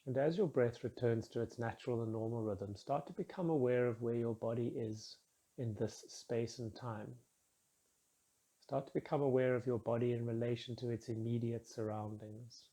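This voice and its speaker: Mellow, husky man guiding a meditation. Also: medium pitch. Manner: calm, soothing, measured instruction with soft phrase endings. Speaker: meditation guide. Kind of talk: guided meditation.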